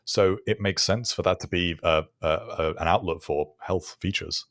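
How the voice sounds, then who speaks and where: A man co-hosting a podcast, thinking aloud in a measured, casual, analytical way. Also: low voice, a bit bright, no room sound, studio quality. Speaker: podcast co-host.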